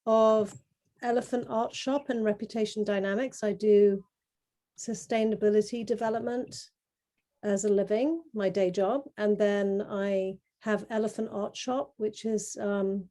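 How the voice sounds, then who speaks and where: A middle-aged woman with a soft-edged, mellow voice at an average pitch. She speaks in a measured, earnest way, presenting artwork to an online group over a video call. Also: steady tone. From grew up in Kenya. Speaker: artist presenting their work.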